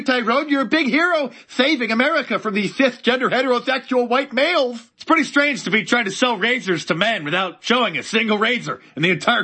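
Sarcastic guy ranting on video, kinda hoarse from a cold, high bright tone. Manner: mocking, sarcastic. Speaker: political commentator.

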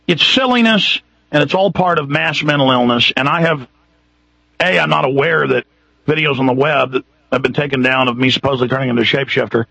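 Gravelly Texan talk radio host. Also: male voice, medium pitch, brisk, pushed and bright, no echo. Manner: emphatic, repetitive, building intensity. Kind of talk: impassioned talk radio monologue. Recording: muffled radio broadcast.

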